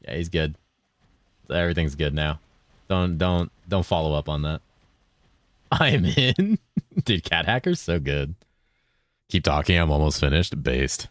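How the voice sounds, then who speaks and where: Chill young guy streaming games, super deep voice, rambly and sweary, dry close mic sound. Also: lively intonation, unhurried, long pauses, no room sound. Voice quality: deep voice that he holds a bit higher than his natural one. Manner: laid-back, rambling, swears a lot, reads chat aloud. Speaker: game streamer. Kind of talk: casual live-stream chat while gaming.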